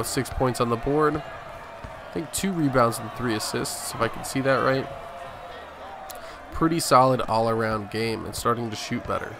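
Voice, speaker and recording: Man doing casual gameplay commentary over noise. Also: medium pitch. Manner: conversational play-by-play in present tense. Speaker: gaming video host. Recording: clear game noise behind voice. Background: basketball game sounds.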